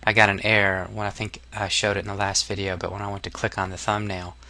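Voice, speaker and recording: Bright-voiced man giving a casual software tutorial. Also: lowish voice, level tone, fast talker. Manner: informal, hesitant, step-by-step explaining. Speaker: instructor.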